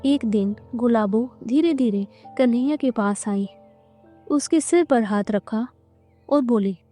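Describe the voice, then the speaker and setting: Higher-pitched, mellow woman storyteller. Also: slow, faint music behind. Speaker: narrator. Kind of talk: scripted storytelling narration for a cartoon.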